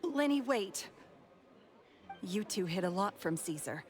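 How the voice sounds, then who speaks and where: A woman's voice: medium pitch, lively intonation, measured pace, lightly acted. A woman playing a bitter, cynical, taunting villain in a video game.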